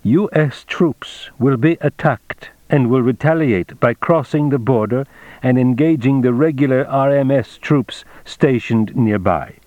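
A man's voice: medium pitch, a little mellow, no echo, pitched above the performer's own voice, lightly acted. A man voicing a composed German naval intelligence captain in an audiobook, secretive and urgent, with a clipped, formal briefing manner.

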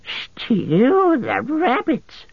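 A man's voice: very high voice, wildly swooping pitch, very slow, dry recording, highs cut off, pitched above the performer's own voice, exaggerated voice. A man voicing a wretched, wheedling creature with a thin, hissing, whiny voice in a storybook reading. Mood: dismayed, pleading. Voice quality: thin, hissing, squealing, raspy. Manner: whiny, repetitive, speaks of himself in third person.

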